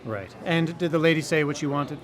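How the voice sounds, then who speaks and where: A man's voice: fairly high pitch, brisk, a crowd in the background, natural voice. A man playing a formal, condescending waiter in a radio drama. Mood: haughty. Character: formal, snooty male waiter with sly contempt.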